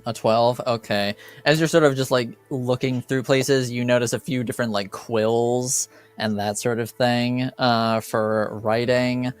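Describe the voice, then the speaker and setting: A man working as game master, narrating an improvised tabletop game in a casual, halting, conversational way. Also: mid-range voice, fairly even intonation, clean tone, faint music behind.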